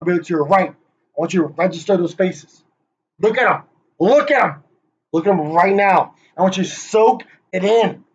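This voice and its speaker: A man's voice: sits high, lively intonation, brisk, breathy edge. A man rehearsing a playful conference presentation, joking, hyping up and teasing the people he names. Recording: dull lo-fi recording.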